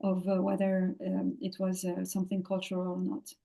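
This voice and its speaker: A woman, speaking English as an as a podcast guest, talking in a thoughtful, halting way with many fillers and restarts. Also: lowish voice, completely flat delivery, gravelly, warm tone. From France. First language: French. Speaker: podcast guest, leadership coach. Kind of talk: interview conversation.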